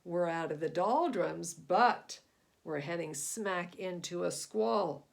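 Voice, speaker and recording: Lady doing a gruff old-time ship captain, growly and salty, loud and bossy, storybook style. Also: fairly low pitch, slow pace, voice pushed down. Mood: urgent. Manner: growling, salty sailor talk.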